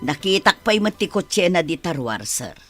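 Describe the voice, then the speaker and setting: A woman voices a scornful woman who throws insults. Her voice is husky and lowish with lively swings, and faint music sits underneath. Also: normal speed.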